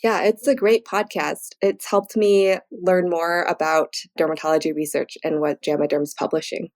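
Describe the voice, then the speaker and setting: Woman with a low, creaky voice. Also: steady tone, moderate pace, darkish tone. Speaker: guest, physician and researcher. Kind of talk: conversational interview answers.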